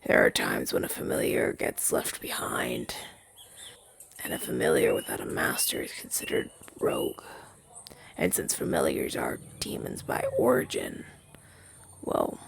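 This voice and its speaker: A man voicing a gentle, wistful demon familiar in an ASMR roleplay, soft-spoken and hesitant, in a breathy, husky half-whisper. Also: rumbling low, wildly swooping pitch, normal speed, heavily acted. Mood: hesitant, sad. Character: gentle, wistful male rogue demon familiar left masterless. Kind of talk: ASMR roleplay audio drama, softly spoken to listener.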